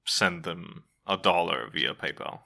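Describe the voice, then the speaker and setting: A man in his twenties with a Serbian accent, co-hosting a casual podcast, halting and repeating words, with dry jokes. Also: low voice, animated, creaky, sharp tone. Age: 20s. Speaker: podcast co-host. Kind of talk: casual chat.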